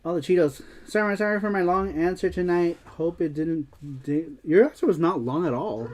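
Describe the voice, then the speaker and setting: A woman's voice: lowish voice, normal speed, darkish tone. A woman hosting a casual gameplay stream, reading viewer chat aloud, laughing and swearing at the game. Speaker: streamer and show host. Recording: game music playing behind the voice. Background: music.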